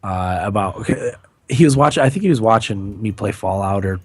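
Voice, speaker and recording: A man with a raspy, hoarse voice that is recovering, chatting casually and a bit haltingly as a podcast host. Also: fairly low pitch, lively intonation, no echo. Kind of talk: casual chat.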